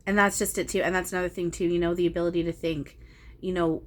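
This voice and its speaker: A woman's voice: on the low side, level tone, fast talker. A woman in her thirties, a channeler talking casually and meanderingly about spiritual experiences, with many ums and restarts. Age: 30s.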